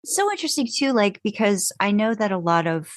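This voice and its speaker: A woman's voice: mid-range voice, sing-song, a little fast, dry recording. A woman co-hosting a casual podcast interview, asking long, rambling questions and often murmuring agreement. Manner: rambling questions, frequent backchannel "mm-hmm". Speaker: podcast co-host.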